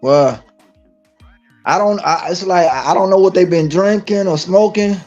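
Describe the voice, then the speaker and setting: A man's voice: high-pitched, normal speed, a little mellow, soft background music. A man from Dallas, Texas, talking casually on a live stream, emphatic and repetitive with many restarts. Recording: dull lo-fi stream audio.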